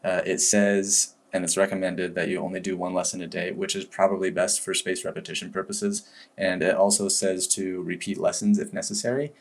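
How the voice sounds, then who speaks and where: A man talking casually to camera as a language-learning vlogger, relaxed and rambling, with frequent fillers and restarts. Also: sits low, a little fast. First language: English. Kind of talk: casual review talk to camera.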